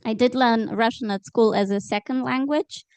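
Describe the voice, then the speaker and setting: A woman, a Romanian speaker speaking as a journalist on a discussion panel, measured and thoughtful. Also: on the high side, fairly even intonation, a little mellow, clean tone, dry recording. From Moldova. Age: 30s.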